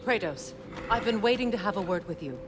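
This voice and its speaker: A woman's voice: medium pitch, lively intonation, brisk, heavy background noise, close to an everyday voice. A woman playing a stern but caring leader in a video game, protective and worried, with a firm, earnest manner. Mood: stern, reproachful. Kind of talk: dramatic video game acting.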